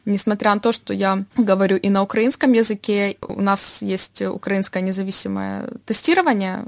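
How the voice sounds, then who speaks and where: A young woman from Dnipro, giving a personal account as an interviewee, haltingly searching for words. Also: mid-range voice, vocal fry, no echo. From Dnipro, Ukraine.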